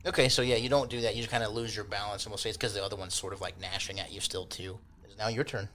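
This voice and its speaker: Male game master, lowish voice, casual improvised narration, slight background noise. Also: fairly even intonation, racing, pushed and bright. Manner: informal, loosely improvised descriptions.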